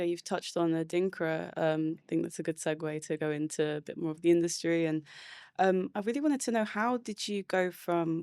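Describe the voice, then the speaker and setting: Gravelly-voiced podcast host. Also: mid-range voice, a little mellow, no echo, clean studio sound. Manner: friendly, affirming before asking. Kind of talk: interview questions.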